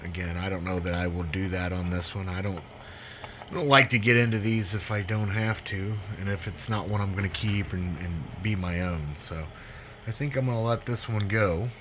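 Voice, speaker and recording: A man's voice: deep voice, moderate pace, fairly bright tone, a little hiss or hum. A man giving a casual, unscripted product walkthrough in a folksy, self-deprecating, rambling way. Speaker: radio hobbyist demonstrating a used CB radio.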